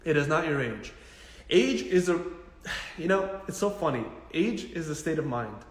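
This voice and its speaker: Male physio host, slightly high voice, informal and punchy advice, repeats points for emphasis, recorded in a reverberant room. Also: unhurried. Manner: animated, blunt, jokey, emphatic repetition. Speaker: physical therapist hosting a live Q&A. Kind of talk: casual informal health advice, answering viewer questions.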